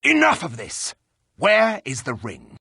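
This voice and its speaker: A man voicing a stern, humorless, gruff hired warrior in a video game, with a husky voice and a curt, scornful way of speaking. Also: high-pitched, huge pitch swings, slow pace, pushed and bright, no room sound, clean studio sound, heavily acted. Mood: angry.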